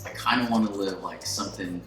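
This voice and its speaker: Excitable young man hosting, echoey room. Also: deep voice, brisk. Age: 20s. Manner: excitable, laughs often, lots of fillers. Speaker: host. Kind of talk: casual sit-down interview. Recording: echoey room with music behind.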